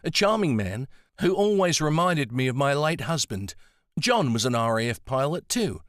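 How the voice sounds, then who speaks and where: A man voicing a gentle, determined older woman with a soft Antipodean accent, coaxing and soothing. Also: medium pitch, very animated, normal speed, dry recording, clean studio sound, voice pushed up. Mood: friendly. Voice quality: soft-spoken.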